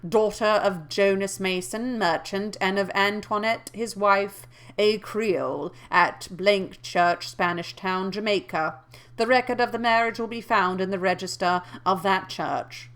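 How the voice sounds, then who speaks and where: A woman voicing a calm male lawyer who reads formally and deliberately in an official nasal voice. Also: medium pitch, lightly acted. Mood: officious.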